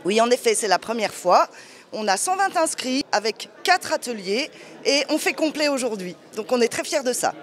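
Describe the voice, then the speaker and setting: A woman's voice: on the high side, sing-song, moderate pace. A woman answering interview questions as a local event organiser.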